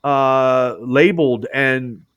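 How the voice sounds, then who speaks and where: A man's voice: medium pitch, slow pace, a bit bright, clean tone, no room sound. A man co-hosting a podcast, ranting bluntly about wrestling with plenty of swearing. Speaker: podcast co-host. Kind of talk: casual wrestling chat.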